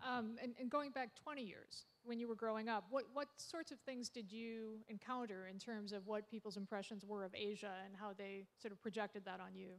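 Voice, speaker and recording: Woman, panel moderator, long probing questions, mid-pitched voice. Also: fairly even intonation, brisk, few pauses.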